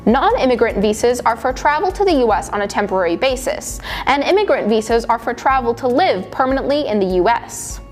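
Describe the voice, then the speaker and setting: A woman's voice: on the high side, sing-song, fairly quick, few pauses, soft background music. A woman reading scripted documentary narration.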